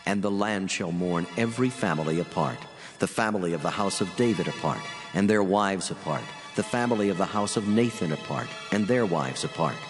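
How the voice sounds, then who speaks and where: An actor playing a mighty, solemn God of scripture, declaiming with grave weight in a dramatized reading. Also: male voice, deep voice, fairly quick, lightly acted. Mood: grave, mournful. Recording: long cavernous reverb. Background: music.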